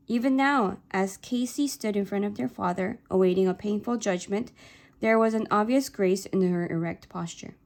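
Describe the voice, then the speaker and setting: A woman whose first language is Korean, reading a novel aloud in a steady, clear storytelling voice. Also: medium pitch. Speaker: reader.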